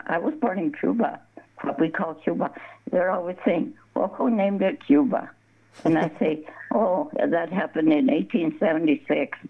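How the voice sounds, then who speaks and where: A woman's voice: low-pitched, breathy edge. An older woman from southern Colorado, telling stories in a casual interview. She rambles, restarts her words and laughs often. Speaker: guest, embroidery artist. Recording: tinny phone line.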